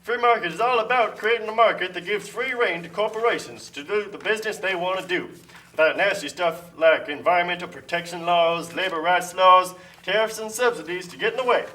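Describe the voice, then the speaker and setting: A man voicing a pompous puppet-show pitchman for free trade, lecturing smugly like a salesman. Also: brisk, bright, forceful tone, distant mic, rough recording. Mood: smug, promoting. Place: community TV studio.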